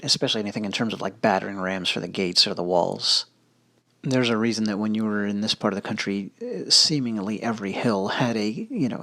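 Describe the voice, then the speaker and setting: A man narrating his own travel footage over the video, relaxed and conversational, with fillers and asides. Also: lowish voice, no room sound. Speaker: history video host. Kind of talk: casual narrated travel commentary. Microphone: voice-over recorded separately.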